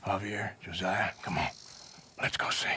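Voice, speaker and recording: A man's voice: low voice, fairly even intonation, moderate pace, bright, forceful tone, a little hiss or hum. An actor playing a curt, sardonic American outlaw gunman in a Western video game. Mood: commanding. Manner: curt, dry, drawling sarcasm. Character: gruff, sardonic outlaw gunman in the Old West. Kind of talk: cinematic video game acting.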